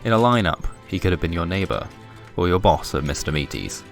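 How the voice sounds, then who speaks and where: A man narrating scripted noir comic fiction in a deadpan, wry manner. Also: deep voice, fairly quick, vocal fry. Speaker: narrator and presenter. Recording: music behind the voice. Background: music.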